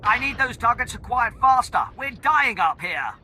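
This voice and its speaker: Stressed game fighter pilot on radio, really high sharp voice, bit of noise. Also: male voice, big pitch swings, normal speed, exaggerated voice. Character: urgent, embattled male fighter pilot on comms. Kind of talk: video game combat acting. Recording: faintly noisy cockpit radio.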